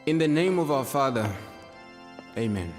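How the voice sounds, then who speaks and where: Actor as a calm devout man, South African accent, medium pitch, long reverb, sincere, radio drama. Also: normal speed, lightly acted. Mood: sincere, firm. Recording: long reverb, faint music behind.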